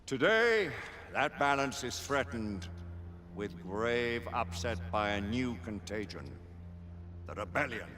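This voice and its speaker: An actor playing a stern, proud, ancient British lord chancellor in a dramatic game cutscene, speaking with solemn, commanding formality. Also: male voice, medium pitch, lively intonation, slow pace. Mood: grave warning. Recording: long hall reverb, faint background noise.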